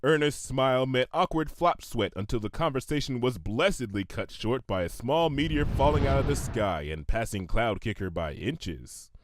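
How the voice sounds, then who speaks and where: A man reading a comic story aloud in an expressive, scripted narration style. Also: medium pitch, moderate pace, hardly any pauses. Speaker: narrator, fan fiction reader.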